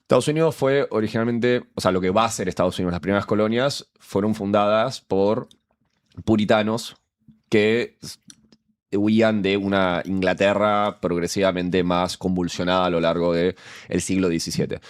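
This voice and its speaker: A man's voice: low voice, steady tone, smooth. A young man with an Argentine Spanish accent, explaining a topic as a show columnist in casual talk, full of fillers and restarts. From born in Guatemala, raised in the US and Argentina. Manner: halting, many fillers and restarts.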